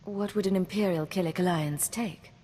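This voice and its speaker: Woman playing a confident, lowish-voiced intelligence agent. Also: moderate pace, mellow, natural voice. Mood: businesslike. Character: confident, wry female imperial intelligence agent, commanding officer. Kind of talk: scripted video game dialogue. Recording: long reverb, audible background noise.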